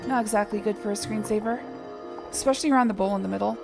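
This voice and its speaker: A woman's voice: medium pitch, rapid-fire, gravelly. A woman casually chatting and rambling while playing a video game as streamer. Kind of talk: casual let's-play commentary. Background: game music.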